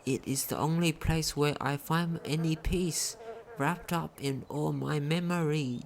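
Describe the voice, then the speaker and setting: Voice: medium pitch, fairly even intonation, unhurried, vocal fry. A gaming commentator talking casually and reading in-game text aloud, sometimes stumbling over words. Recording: home recording with faint noise.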